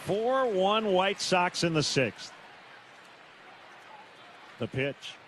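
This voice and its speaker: High-pitched male baseball play-by-play announcer. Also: big pitch swings, very slow, fairly bright tone. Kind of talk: live radio play-by-play commentary. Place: stadium broadcast booth. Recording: noisy stadium radio broadcast.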